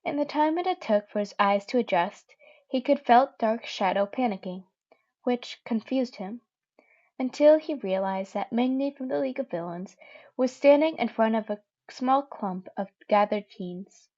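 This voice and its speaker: Young female amateur reader, high-ish voice, casual and fumbling, dull home mic. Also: animated, unhurried, dark tone. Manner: chatty, with many fillers and self-corrections. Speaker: podfic reader. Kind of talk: casual reading of fan fiction.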